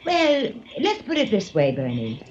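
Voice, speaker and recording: A woman's voice: mid-range voice, huge pitch swings, a little mellow. An actress playing a scheming blackmailing woman in a 1940s radio drama, sly and mocking. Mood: taunting. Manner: sly, mocking, drawn-out delivery. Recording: lo-fi old radio transcription with hiss.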